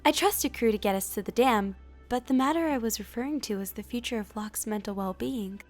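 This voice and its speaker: A woman voicing an earnest, idealistic cartoon therapist with a measured, formal, articulate delivery. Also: fairly high pitch, faint music behind, lightly acted. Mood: earnest, concerned. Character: earnest, composed female therapist, idealistic and firm. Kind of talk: animated film voice acting.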